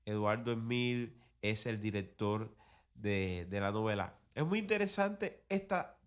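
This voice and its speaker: A man's voice: fairly low pitch, expressive, unhurried. A man with a Cuban accent giving conversational, digressive and emphatic commentary as a soap opera commentator. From Cuba. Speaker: commentator on radio and television soaps.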